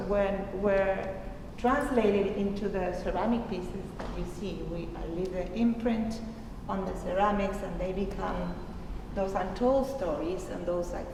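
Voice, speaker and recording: A woman from Argentina presenting her art at a gallery talk, thoughtful and pausing often to search for words. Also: mid-range voice. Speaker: artist presenting her work. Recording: echoey hall recorded from far off, noisy.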